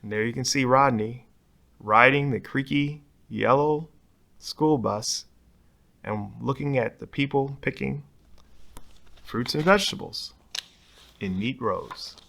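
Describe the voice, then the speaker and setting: A man's voice: medium pitch, takes it slowly, gravelly, long pauses, fairly bright tone. A man reading a children's picture book aloud expressively, putting dramatic emphasis on key words. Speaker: storybook reader.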